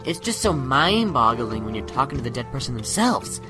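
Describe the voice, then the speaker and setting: A man's voice: mid-range voice, big pitch swings, moderate pace, close to an everyday voice. A man in a radio drama playing a sadistic kidnapper who taunts in a chatty, morbidly philosophical way. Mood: morbidly fascinated. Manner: mocking, chatty, drawn to musing on death. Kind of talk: amateur radio drama acting. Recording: loud music behind the voice.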